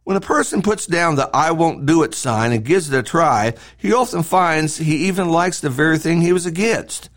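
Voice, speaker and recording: A man's voice: a little high, expressive, normal speed, hardly any pauses. A middle-aged man reading a devotional talk in an earnest, emphatic, preacherly way. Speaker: preacher hosting a devotional podcast. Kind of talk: scripted devotional talk, read aloud.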